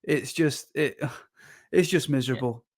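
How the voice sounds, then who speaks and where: A man co-hosting a podcast. His voice is hoarse and deeper than usual from illness, and he jokes around playfully. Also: unhurried. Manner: jokey, animated, playful. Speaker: podcast co-host. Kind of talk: casual chat.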